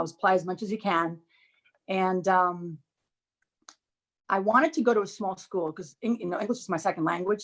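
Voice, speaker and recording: Chatty woman in her forties, low voice. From Dominican Republic. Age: 40s. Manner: lively, chatty storyteller with many fillers. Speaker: former college tennis player. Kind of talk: casual video call interview.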